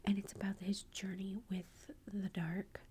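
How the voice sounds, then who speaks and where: A woman, a mother, telling a story about a movie in a soft, chatty whisper full of fillers. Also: mid-range voice, little pitch movement, a little slow, slight background noise.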